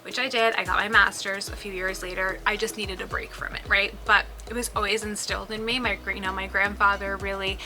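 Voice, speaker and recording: Bright-voiced chatty woman. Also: mid-range voice, flat delivery, fairly quick, hardly any pauses, soft background music. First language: Spanish. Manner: chatty, with frequent ums and likes. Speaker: business owner. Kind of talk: casual personal vlog talk.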